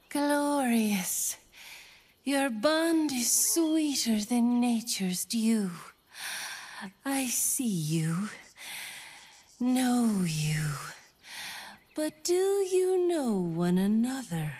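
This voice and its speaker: A woman voicing a solemn, tender, mystical nature priestess in a video game, speaking in a ceremonial, poetic, often breathy manner. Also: fairly high pitch, heavily acted. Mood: tender, hushed. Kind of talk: video game character acting.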